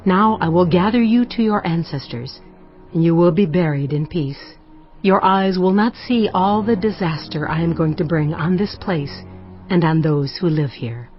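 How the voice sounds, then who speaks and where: An actress playing a solemn female prophet in a dramatized scripture audio, pronouncing judgment in a measured, declamatory way. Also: fairly low pitch, close to an everyday voice. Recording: slightly dull audio over faint music.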